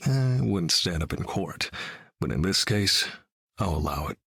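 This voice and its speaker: A battle-hardened video game vigilante, grim and brooding. His voice is low and very husky, recorded bone dry, with a deadpan edge. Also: normal speed, no room sound, exaggerated voice. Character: grim, battle-hardened male vigilante leader with keen hearing. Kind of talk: video game character voice lines.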